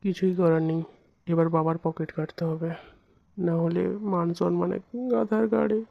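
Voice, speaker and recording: A man's voice: high-pitched, moderate pace, gravelly, no echo. Brash young slacker son, acted by a man, comic cartoon, foul-mouthed, lo-fi dry recording. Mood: boastful, indignant. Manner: brash, swearing, boastful. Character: cocky broke young slacker son, boastful and whiny. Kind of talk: comic Bengali cartoon acting.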